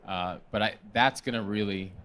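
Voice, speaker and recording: A man with a fairly low voice speaks on a trade-show panel. He explains an idea with energetic, salesy enthusiasm. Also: moderate pace. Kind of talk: panel discussion.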